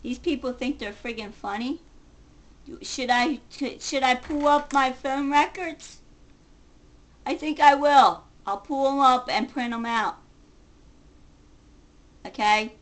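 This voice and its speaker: A woman's voice: high voice, slow, pauses often. A middle-aged woman ranting casually in a combative, sarcastic manner, recorded on a webcam in a home kitchen. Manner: agitated, combative, sarcastic, profane. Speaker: vlogger. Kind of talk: confrontational ranting to camera, casual.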